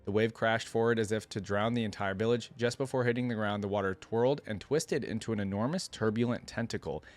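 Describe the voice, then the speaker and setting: A man's voice: on the low side, fairly quick. A man hosting a reaction video, reading a story aloud and breaking off into casual, musing commentary with hesitant asides.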